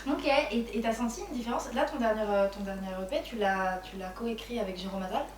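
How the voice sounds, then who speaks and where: A woman's voice: on the high side, a little fast. A woman interviewer asking casual questions in an informal, friendly way. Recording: echoey, distant mic with crowd noise. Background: crowd chatter.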